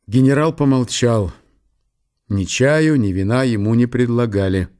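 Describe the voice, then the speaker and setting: A man's voice: medium pitch, measured pace, darkish tone. A man reading scripted literary narration for a historical audio drama.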